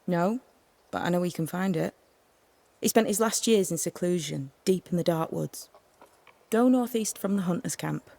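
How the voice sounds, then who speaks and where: An eccentric woman seer, with plenty of fry in the voice. She speaks in a measured, cryptic way, as one who is used to chatting with spirits. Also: fairly low pitch, mellow. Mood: calm, guarded. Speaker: game voice actor. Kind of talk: dramatic game dialogue.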